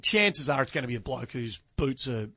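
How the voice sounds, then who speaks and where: Animated Australian man hosting a golf podcast. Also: mid-range voice, dry recording. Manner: animated, emphatic, runs through stats quickly. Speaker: podcast host. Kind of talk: casual sports chat.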